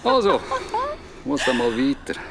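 An actor with a Swiss German accent playing a creepy, overly polite forester in an audio drama. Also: male voice, medium pitch, flat delivery, unhurried, gravelly, noisy background. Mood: polite, offhand.

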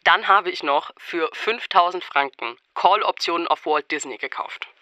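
A man's voice: fairly high pitch, normal speed, bright, forceful tone, no echo. A man reading a scripted, diary-like first-person narration close to the microphone. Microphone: close, dry microphone.

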